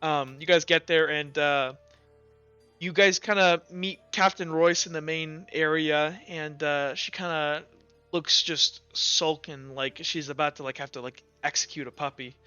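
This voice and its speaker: A man's voice: fairly high pitch, steady tone, measured pace, bright, forceful tone, smooth, muffled recording. A young man speaking casually as game master, improvising narration for a tabletop game. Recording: slightly boxy audio, music behind. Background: music.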